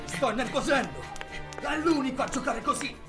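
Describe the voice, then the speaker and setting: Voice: high-pitched, very animated, moderate pace. An actor voicing a determined, hot-headed young male soccer player in an anime fandub, intense and indignant. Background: very loud soundtrack music.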